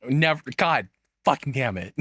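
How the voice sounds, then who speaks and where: A man's voice: medium pitch, animated, a little slow, pushed and bright, soft background music. A man doing casual, rambling reaction commentary as a streamer, informal, profane and opinionated, speaking into a close microphone.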